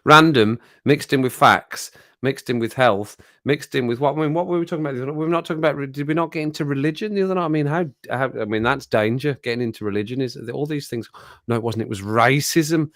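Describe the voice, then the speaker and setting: A man hosting a casual live stream chat, rambling and laughing often, his voice a little croaky after a cold. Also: medium pitch, fast, a bit bright, no room sound, clean studio sound.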